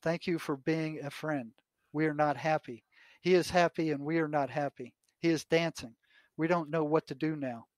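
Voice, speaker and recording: An older American man in conversation, telling anecdotes and reading aloud from notes in a halting way, often repeating his words. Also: fairly high pitch, fairly even intonation, no echo. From United States. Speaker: retired psychotherapist, podcast guest.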